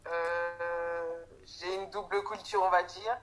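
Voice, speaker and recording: A woman's voice: on the low side, slow, faint music behind. A woman in her twenties from Haiti, a guest in a casual chat, talking with lots of laughter and interjections. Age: 20s. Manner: laughing, animated, full of interjections.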